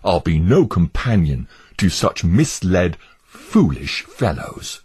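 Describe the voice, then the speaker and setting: A man voicing a stubborn, scornful male neighbour who blusters and mocks, in a husky voice. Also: deep voice, sing-song, slow, darkish tone, heavily acted.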